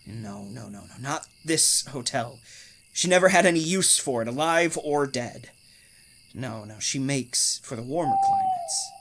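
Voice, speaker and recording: A man acting a menacing, unhinged husband obsessed with his dead sister, speaking in a deliberate, domineering way in a radio thriller style. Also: medium pitch, huge pitch swings, noisy background. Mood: brooding, obsessive.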